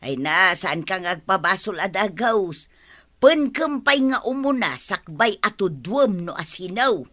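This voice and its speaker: A woman's voice: medium pitch, very animated, a little slow, fairly bright tone, no room sound, studio quality. A woman with an Ilocano accent playing an exasperated village wife, complaining and scolding in a radio comedy drama.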